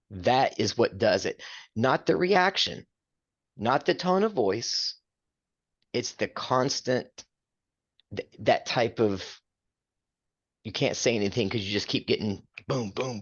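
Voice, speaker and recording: A man's voice: on the low side, lo-fi sound. An older man with a big, carrying voice, co-hosting a casual chat and speaking emphatically.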